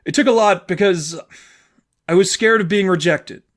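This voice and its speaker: An exasperated teenage girl, acted out by a man in an audiobook story, wounded and bitter. The pitch is pushed well above a usual male voice. The delivery is emphatic and sarcastic, halting when upset. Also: fairly bright tone. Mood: tearful, hurt. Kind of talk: fan fiction audiobook dialogue.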